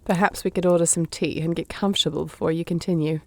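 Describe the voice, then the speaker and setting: A woman's voice: fairly low pitch, fairly even intonation, fast talker, close to an everyday voice. A woman playing a wry, shrewd thief for hire in a fantasy audio drama, with a dry, teasing, understated delivery. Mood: curious. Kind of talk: fantasy audio roleplay acting.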